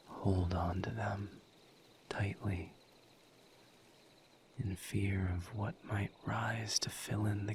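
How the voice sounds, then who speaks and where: A man voicing a calm, formal misery demon in an audio roleplay, speaking softly in a breathy near-whisper with measured pauses. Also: fairly low pitch, background noise. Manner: measured, halting, formal diction with long pauses. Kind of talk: audio roleplay, intimate scripted monologue.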